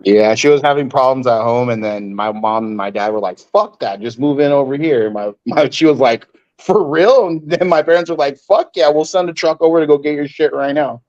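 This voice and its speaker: A man's voice: mid-range voice, fairly quick, a little mellow. A young man chatting casually as a guest, rambling and filling his sentences with "like". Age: young adult. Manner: rambling, fills every gap with like. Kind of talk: casual chat. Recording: dull lo-fi sound.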